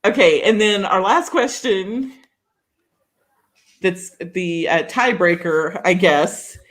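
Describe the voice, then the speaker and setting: A woman's voice: fairly low pitch, takes it slowly. Dry, laughing Texan woman. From Texas. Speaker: podcast co-host. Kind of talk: casual chat over a video call.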